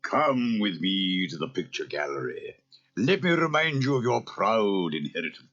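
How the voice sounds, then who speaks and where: An actor playing a gloomy, servile old butler, droning formally in a cartoon audio story. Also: male voice, low-pitched, sing-song, normal speed, a bit bright, heavily acted. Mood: shocked, deferential. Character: gloomy, deferential old vulture butler, proud of evil. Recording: muffled cassette transfer.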